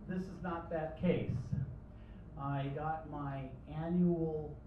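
An older man speaks slowly at a cafe open mic, pausing and hedging with fillers while slipping in dry jokes. His voice is somewhat high. The sound is roomy and far from the mic. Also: a little hiss or hum. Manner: hesitant, filler-heavy, dry humor. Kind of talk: casual intro before reading. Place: small cafe at night, indoors. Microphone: stand mic from a far camera. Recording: roomy, distant, dull livestream audio.

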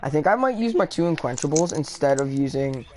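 A woman's voice: deep voice, lively intonation, fairly quick, over a noisy crowd. A young woman streaming a zombie game, chatting with friends in a voice party and giving them orders. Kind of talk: casual gaming party chat. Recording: clear background noise with clicks.

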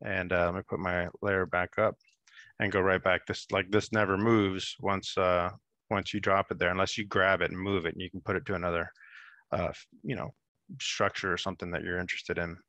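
A man in his thirties talking through a screen-share software demo on a video call, relaxed and explanatory with many fillers. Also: sits low, brisk, no room sound, highs cut off. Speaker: app demonstrator.

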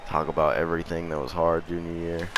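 Teen male gamer, low voice, a little raspy from being sick, rambling slangy chat, noisy home mic. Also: level tone, fast talker, crowd noise. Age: teens. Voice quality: slightly raspy. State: recovering from illness. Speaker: gaming YouTuber. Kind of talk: casual gameplay commentary.

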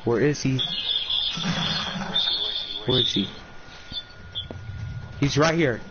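A man's voice: mid-range voice, extremely slow, muffled recording, heavy background noise. A teenage boy playing a shooter with a friend, bickering and calling out plays in casual banter. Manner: bickering, excitable. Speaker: fellow player.

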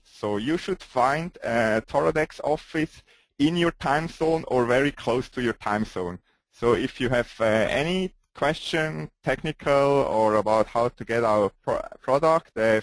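A man with a strong Swiss accent presenting a company webinar, pausing often with "uh" fillers. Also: medium pitch, no echo, low-quality recording. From Switzerland. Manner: hesitant, frequent "uh" fillers, non-native phrasing.

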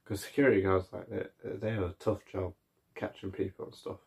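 A tired man speaking quietly and drowsily, trailing off as he signs off to viewers. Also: low-pitched, level tone, fairly quick, gravelly, breathy edge. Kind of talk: casual sign-off to viewers.

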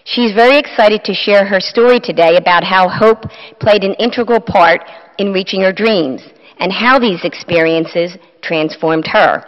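A woman's voice: mid-range voice, unhurried. Woman coach at a chapel podium. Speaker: field hockey coach introducing a speaker. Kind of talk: prepared introduction at a school chapel. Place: large school chapel. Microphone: podium microphone. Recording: dull audio in a reverberant chapel.

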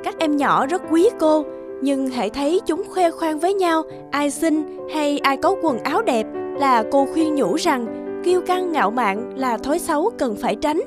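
A woman narrates a children's fairy tale. The delivery is scripted storybook narration, with music clearly heard behind it. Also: high voice, expressive, moderate pace.

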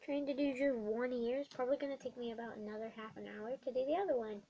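Croaky young girl with a cold. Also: on the high side, fairly even intonation, fast, highs cut off, slight background noise. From not American. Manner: chatty, rambling with many ums and uhs. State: has a bad cold, croaky. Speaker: craft vlogger. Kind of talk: casual craft tutorial vlog. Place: small dim bedroom indoors.

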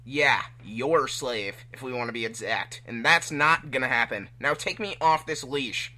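A man's voice: mid-range voice, unhurried, pushed and bright. A man voicing a cocky, indignant young hedgehog hero in a fan-fiction reading, casual and complaining.

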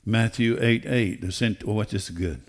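Older male preacher, fairly deep voice, emphatic and deliberate delivery from a church stage. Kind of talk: sermon. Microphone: headset.

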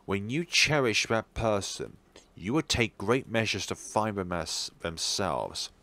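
Man playing teenage schoolboy, caring optimist, earnest, animated intonation, visual novel game. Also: lowish voice, measured pace, heavily acted. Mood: hurt, earnest. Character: upbeat, caring teenage schoolboy, stubbornly optimistic best friend.

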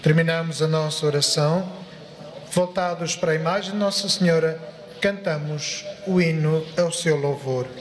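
A middle-aged male priest prays in a solemn, measured manner. His voice sits high for a man. It carries through a microphone with a strong echo and a long reverberant tail. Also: a little hiss or hum. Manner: formal, measured recitation. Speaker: priest presiding at prayer. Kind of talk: solemn liturgical prayer and blessing. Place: large open-sided chapel before a crowd. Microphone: gooseneck microphone at lectern, through PA. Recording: very echoey amplified sound, long reverb.

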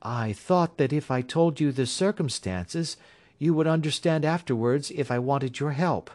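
A man's voice: fairly high pitch, measured pace, darkish tone, pitched above the performer's own voice, close to an everyday voice. A man putting on an earnest young woman. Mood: pleading. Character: anxious young woman seeking help, then resolute.

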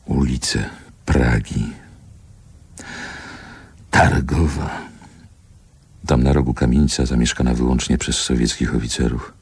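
An actor playing a man who wistfully and wryly recalls his childhood in a literary radio play, deliberate and pausing between short phrases. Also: a bass voice, steady tone, creaky, darkish tone, breathy edge, no echo, full character voice. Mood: solemn, reflective. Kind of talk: literary radio drama monologue, lyrical reminiscence.

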